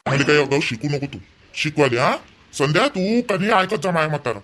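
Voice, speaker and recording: A man's voice: fairly high pitch, animated, fairly quick, fairly bright tone. A man voicing a stern, controlling young village husband in a cartoon, giving reproachful orders in a Vidarbha Marathi accent. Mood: stern, insistent. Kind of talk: cartoon voice acting.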